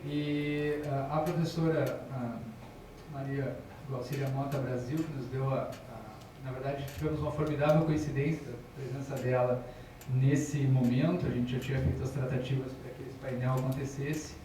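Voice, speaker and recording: A man's voice: medium pitch, fairly even intonation, moderate pace. A man moderating an academic panel, introducing the speakers with hesitant fillers and self-corrections. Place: university auditorium. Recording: echoey lecture hall from far off, noisy.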